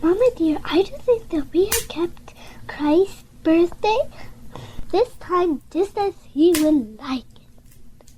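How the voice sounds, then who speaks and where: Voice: extremely high pitch, lively intonation, slow, dark tone, a little hiss or hum, heavily acted, poor audio. A performer playing a sweet, frail little girl in an audio drama, earnest and dreamy, with old-fashioned phrasing. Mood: dreamy happiness.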